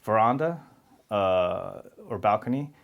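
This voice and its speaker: A man talks candidly in an interview. His voice sits low and carries a gravelly edge. He laughs easily as he tells stories. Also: lively intonation, slow pace, highs cut off. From New York City. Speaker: actor, interview guest. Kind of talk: casual interview conversation.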